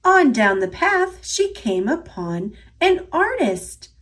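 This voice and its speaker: Woman, American accent, children's storyteller, high pitch, very lively intonation, unhurried scripted reading.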